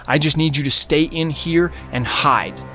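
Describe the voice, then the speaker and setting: A man voicing a cocky young male fugitive in an audiobook, hurried, dismissive and insistent. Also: fairly high pitch, bright, forceful tone, soft background music, voice pushed up. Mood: urgent, pleading.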